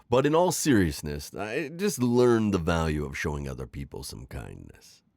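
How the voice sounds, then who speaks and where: A man's voice: low-pitched, very animated, a little slow, creaky, a little mellow, no room sound, heavily acted. A man playing a sly, teasing, middle-aged retired magical villain in an ASMR roleplay, theatrical and coaxing in his delivery. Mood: smooth, feigned sincerity. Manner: theatrical, teasing, manipulative coaxing with sighs and chuckles. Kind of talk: scripted ASMR roleplay acting.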